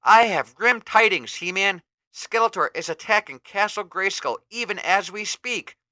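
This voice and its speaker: A man's voice: high voice, expressive, moderate pace, sharp tone, dry recording, pitched above the performer's own voice, heavily acted. A man voicing a grave, urgent sorceress woman bringing a warning. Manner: earnest, urgent pleading tone.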